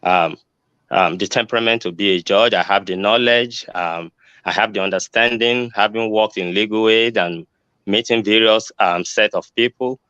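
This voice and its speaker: Older man, hesitant, low voice. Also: moderate pace, pushed and bright, smooth, no room sound. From Nigeria. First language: Yoruba. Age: 60s. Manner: hesitant, many ums and restarts. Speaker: judicial candidate. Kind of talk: answering forum questions. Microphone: video call. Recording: dull lo-fi video call audio.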